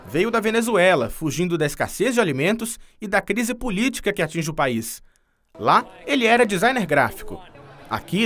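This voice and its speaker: Brief: male TV reporter, high and animated voice, scripted narration. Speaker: TV news reporter.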